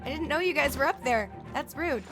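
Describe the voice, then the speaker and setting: A woman's voice: high-pitched, animated, brisk. A woman streaming a video game, chatting casually and playfully as she reacts aloud. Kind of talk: casual live stream gameplay commentary. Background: game music.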